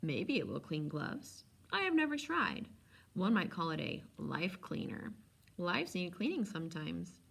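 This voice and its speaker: A woman's voice: fairly low pitch, very animated, unhurried, creaky, a bit bright, heavily acted. A woman voicing a polite, sly, coolly indifferent old man selling potions in a storybook reading, with precise, insinuating salesman's patter. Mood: indifferent, businesslike. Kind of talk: storybook read-aloud.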